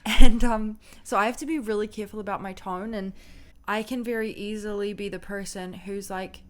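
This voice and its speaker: A young woman with a low, loud voice, co-hosting a casual marriage advice chat and telling stories animatedly. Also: normal speed. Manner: animated storytelling, acts out voices and quotes with laughs. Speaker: co-host of a marriage advice channel.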